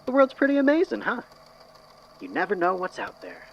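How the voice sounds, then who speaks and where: An actor playing a curious, wistful character in an audio drama, speaking in measured, formal phrases. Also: mid-range voice, huge pitch swings, muffled recording, heavy background noise. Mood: wistful, musing. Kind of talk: fan audio drama acting.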